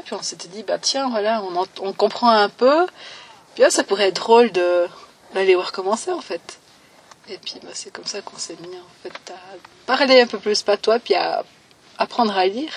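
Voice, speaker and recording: A woman's voice: mid-range voice, recorded from a distance, slight background noise. Young woman, hesitant. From Valais, Switzerland. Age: 20s. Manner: hesitant, many fillers, softly trailing off. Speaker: interviewee. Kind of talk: recorded personal testimony.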